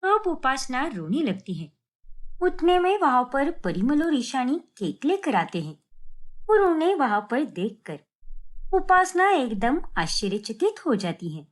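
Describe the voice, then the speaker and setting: A woman's voice: high-pitched, sing-song, a little slow. A woman narrating a scripted story as its storyteller.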